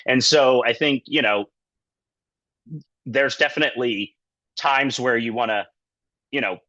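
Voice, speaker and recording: Male physician as guest lecturer, low-pitched yet forceful, conversational and loosely worded, on dull band-limited audio. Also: no room sound. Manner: conversational, loosely phrased, peppered with "you know" and "kinda". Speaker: sports medicine physician giving a guest lecture. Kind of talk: informal lecture with anecdotes and career advice.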